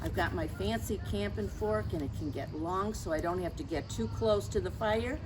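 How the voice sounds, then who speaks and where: A woman reading a picture book aloud to young children, chatting to them directly with questions and gentle reminders in a teacherly way. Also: medium pitch, moderate pace. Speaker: story time reader for children. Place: outdoors near lawns being mowed. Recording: outdoor noise, mower and birds.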